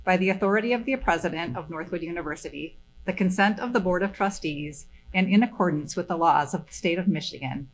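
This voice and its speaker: A woman with a somewhat low voice solemnly reads the formal conferral of degrees. A faint hum sits behind her. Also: brisk, hardly any pauses, poor audio. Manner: solemn, formulaic. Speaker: dean. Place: stage at a podium.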